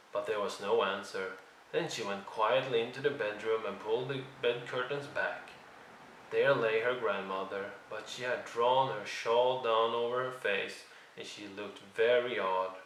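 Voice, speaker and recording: A man narrating a children's read-along story in an expressive storytelling style. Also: on the low side, unhurried, a little hiss or hum. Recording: roomy, distant microphone.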